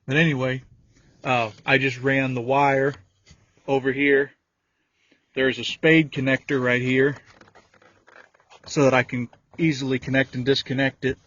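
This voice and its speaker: A man's voice: medium pitch, little pitch movement, unhurried, pauses often, a bit bright. A man giving a casual, folksy handheld walkthrough, talking informally and rambling a little as he explains things. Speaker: vehicle DIY video creator. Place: in and around a pickup truck cab. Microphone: handheld phone or camera. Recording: dull lo-fi audio, roomy echo.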